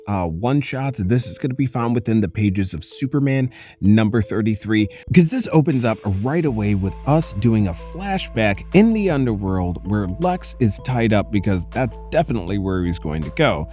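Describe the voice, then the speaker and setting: A man hosting a casual commentary recap, telling the story in an animated, conversational way. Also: medium pitch, normal speed. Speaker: comics recap host. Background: music.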